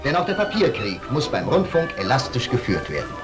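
A man's voice: mid-range voice, huge pitch swings, brisk. A man narrating a 1950s documentary film in formal, emphatic over music.

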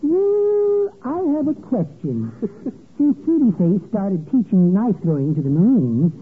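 A man's voice: sits high, sing-song, unhurried. An actor playing a meek, henpecked little American man, hesitant and apologetic, in old-time radio comedy. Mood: timid, flustered. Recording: tinny, faintly noisy old radio recording.

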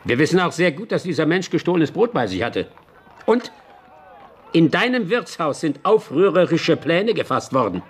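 An actor playing an officious, self-important police commissioner in a historical radio drama, giving commands. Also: male voice, on the high side, very animated, moderate pace, slight background noise. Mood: smug, official. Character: officious, bossy police commissioner of 17th-century Milan.

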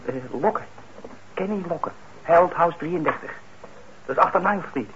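Actor as nervous, stammering young lad. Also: male voice, a little high, moderate pace, close to an everyday voice. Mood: nervous, grateful. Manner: stammering, hesitant. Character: shy, eager working-class lad, nervous and unsure. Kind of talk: radio play acting. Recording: dull, noisy old radio recording.

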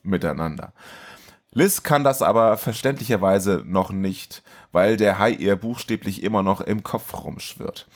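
A man's voice: on the low side, unhurried. A man co-hosting a film podcast in a casual, chatty style. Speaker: podcast co-host.

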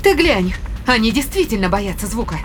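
A woman's voice: a little high, big pitch swings, moderate pace, a little hiss or hum. An actress playing an armed, commanding space crewwoman in a sci-fi audio drama, giving urgent, clipped orders. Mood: amazed.

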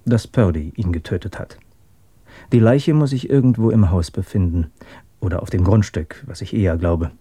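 A man's voice: deep voice, moderate pace, warm tone, husky, no room sound. An actor playing a keen, methodical male police detective reporting to his superior in a German radio crime drama.

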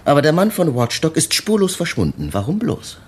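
An actor playing a shrewd, world-weary police inspector who probes insistently and explains step by step in a radio crime drama. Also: male voice, fairly high pitch, huge pitch swings, brisk, slightly rough, exaggerated voice. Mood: probing. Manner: probing, explains step by step, prodding questions.

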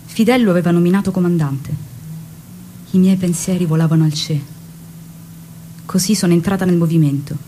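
An actress playing an earnest, ardent woman guerrilla recalling her youth in a measured stage monologue. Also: on the low side, dark tone, a little hiss or hum, close to an everyday voice. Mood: earnest recollection.

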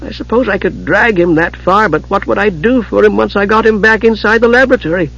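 A man's voice: high voice, fairly quick, gravelly, fairly bright tone, highs cut off, pitched above the performer's own voice, full character voice. A man voicing a small, childlike kender who chatters and asks questions eagerly and earnestly in a storybook. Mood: eager, chatty. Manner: rambling, inquisitive, earnest.

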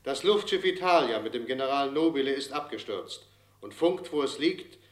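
A man's voice: mid-range voice, expressive, moderate pace, natural voice. A man playing a matter-of-fact 1920s news announcer reading bulletins in a radio drama. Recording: roomy echo.

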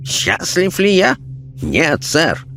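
A man voicing a pompous, pious gentleman ghost in an audiobook, speaking formally with offended haughtiness. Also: fairly high pitch, wildly swooping pitch, extremely slow, vocal fry, slight background noise, pitched above the performer's own voice, heavily acted. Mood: offended, preachy.

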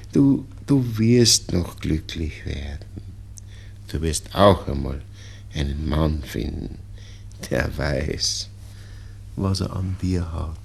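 An actor playing an anxious, doting old father with a Viennese accent in a radio drama, pleading haltingly and repeating himself. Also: low voice, gravelly, husky, exaggerated voice. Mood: flustered, soothing. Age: older. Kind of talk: 1960s German-language radio drama acting.

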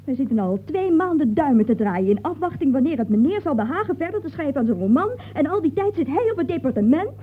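An actress playing an insistent, dramatic novel heroine with theatrical emphasis in an old radio play. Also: female voice, high voice, big pitch swings, quick pace, few pauses, narrow, tinny audio. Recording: thin, noisy old radio recording.

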